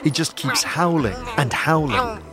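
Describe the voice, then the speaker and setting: A man's voice: medium pitch, big pitch swings, measured pace. A man delivering scripted second-person narration for a fantasy audio drama. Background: music.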